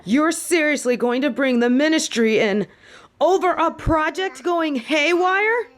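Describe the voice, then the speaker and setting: A woman's voice: high voice, animated, measured pace. A woman voicing a hot-headed young witch student in an audio drama, brash, sarcastic and confrontational. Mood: angry, determined. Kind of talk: scripted audio drama acting.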